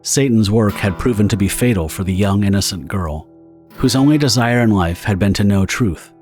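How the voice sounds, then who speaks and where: A man's voice: lowish voice, normal speed, darkish tone, soft background music. A man narrating a dramatic story from a script.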